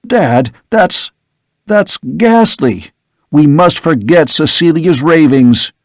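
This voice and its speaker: Guy reading as a worked-up young son, pitched up, insisting hard, dry close sound. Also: expressive, moderate pace, darkish tone, no echo. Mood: impassioned. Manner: impassioned, insistent pleading. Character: young man, earnest and agitated son. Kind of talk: audiobook character reading.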